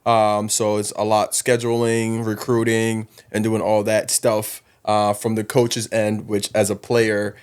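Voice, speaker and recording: A man's voice: on the low side, monotone, unhurried, hardly any pauses, clear, resonant tone. A man from South Sudan talking casually and informally as a podcast guest, with slang and frequent "you know". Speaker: guest, college basketball coach.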